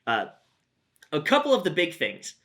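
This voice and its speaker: A man's voice: a little high, huge pitch swings, normal speed, sharp tone. A man hosting his own channel, chatting casually and wryly about travel news, with frequent "uh" fillers. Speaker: YouTube host.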